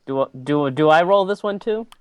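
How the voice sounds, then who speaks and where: A man's voice: a little high, lively intonation, moderate pace, a little mellow, clear, resonant tone, no room sound. A man playing a tabletop game, chatting casually and a little hesitantly.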